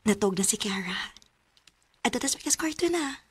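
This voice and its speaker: Actress as young married woman, Cebuano Filipino accent, highish voice, affectionate, playful, radio drama. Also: animated, normal speed, slight background noise, close to an everyday voice. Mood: coaxing.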